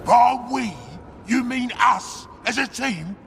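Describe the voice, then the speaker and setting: A man voicing a brutish, cocky ork warboss in a video game, crude, bellowing and gravelly. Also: extremely high pitch, takes it slowly, fairly bright tone, slight background noise, heavily acted. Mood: sceptical.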